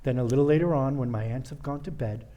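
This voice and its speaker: A man acts a scheming criminal nephew in an old-time radio comedy. The voice is dark and mellow, with a commanding manner and a menacing politeness. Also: mid-range voice, fast talker, close to an everyday voice. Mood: gleeful scheming.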